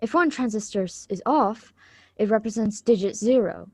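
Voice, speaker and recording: A woman's voice: medium pitch, animated, normal speed, no room sound. A woman playing an eager, polite schoolgirl granddaughter in a script reading of a radio drama, earnest and sometimes stumbling over lines. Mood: reciting.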